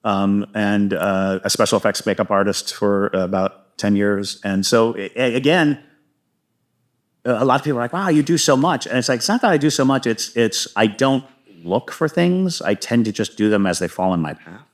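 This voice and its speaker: Low-voiced, talkative middle-aged American man. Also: normal speed. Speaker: podcast guest, filmmaker and puppeteer. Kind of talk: casual interview chat.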